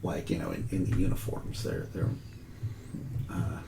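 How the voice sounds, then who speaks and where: An American man improvising tabletop game narration as game master, halting and thinking aloud. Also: deep voice, fairly even intonation, gravelly. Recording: roomy echo, faint noise.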